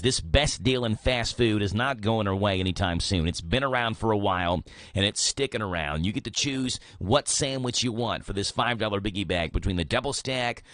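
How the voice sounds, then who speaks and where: Bright-voiced morning radio host. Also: male voice, lowish voice, dry recording, clean studio sound. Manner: upbeat, plain-spoken, casual. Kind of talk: radio show hosting and live ad read.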